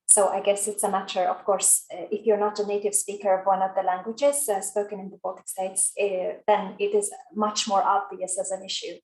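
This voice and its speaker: A woman's voice: mid-range voice, level tone, a little fast, hardly any pauses, a little mellow. A woman whose first language is Latvian, speaking briefly and hesitantly as a panelist. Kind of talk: academic discussion. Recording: roomy echo.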